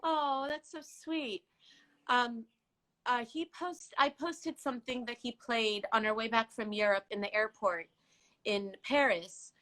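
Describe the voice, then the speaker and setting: A woman's voice: fairly high pitch, steady tone, unhurried, fairly bright tone, poor audio. A middle-aged woman from Iran, a native Farsi speaker, chatting as a guest in a giggly, talkative way, often restarting words. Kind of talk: casual live-stream chat.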